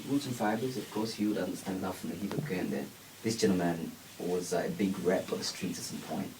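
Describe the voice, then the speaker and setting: A man's voice: low-pitched, a little fast. A man hosting a casual radio interview, rambling and laughing often. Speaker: radio host. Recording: distant mic with faint background noise.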